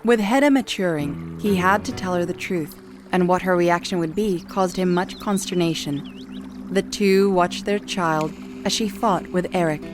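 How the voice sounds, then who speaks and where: A woman's voice: lowish voice, measured pace. A woman reading a scripted story as the narrator of an audio drama. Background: music.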